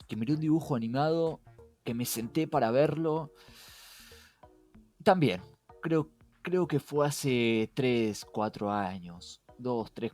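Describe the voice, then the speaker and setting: Young rioplatense guy doing podcast chat, really emphatic, asks you questions directly, bit of music behind. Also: on the high side. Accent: Rioplatense Spanish. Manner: emphatic, rhetorical questioning, addresses listener directly. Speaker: podcast co-host. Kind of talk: conversational podcast hosting. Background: background music.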